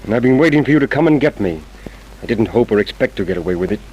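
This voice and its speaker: Resigned confessed killer in vintage radio drama. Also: male voice, medium pitch, lively intonation, quick pace, slightly rough, dull audio. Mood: resigned, composed. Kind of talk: old-time radio drama acting. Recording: vintage radio broadcast recording.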